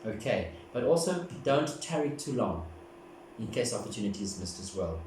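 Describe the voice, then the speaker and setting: A man talks through a tarot reading in a gentle, meandering way, circling back over his points. His voice sits on the low side. The mic is some distance away in a heavily echoing room, with steady background noise. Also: normal speed. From South Africa. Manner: gentle, meandering, repeats "as well" often. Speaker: tarot card reader. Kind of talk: casual spoken tarot reading.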